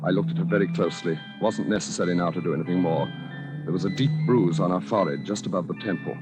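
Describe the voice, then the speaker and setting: A man's voice: low-pitched, quick pace, close to an everyday voice. An actor playing a furtive, evasive married Englishman with delusions of station, speaking formally and hesitantly in 1940s radio suspense style. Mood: cold narration. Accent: British. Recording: vintage radio drama recording, faint music.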